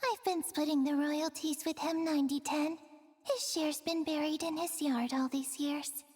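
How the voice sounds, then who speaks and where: High-voiced wry treasure guide, played by a woman, reverberant. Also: steady tone, moderate pace, darkish tone, close to an everyday voice. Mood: concerned, wry. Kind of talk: video game voice acting.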